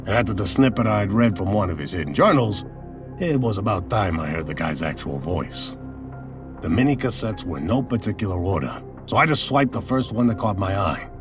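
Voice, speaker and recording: A man's voice: lowish voice, sing-song, moderate pace, over music. An actor playing a wry private detective narrating his case in an audio drama, dry and colloquial. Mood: reflective, dry. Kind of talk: audio drama acting and first-person narration.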